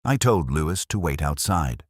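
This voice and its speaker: A man playing a melancholy, reflective police inspector, narrating his case in a measured, literary way like an audio drama. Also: extremely low pitch, very animated, a little mellow, no echo, studio quality, exaggerated voice. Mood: brooding, reflective. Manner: measured, literary, brooding delivery. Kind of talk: scripted first-person detective audio drama narration.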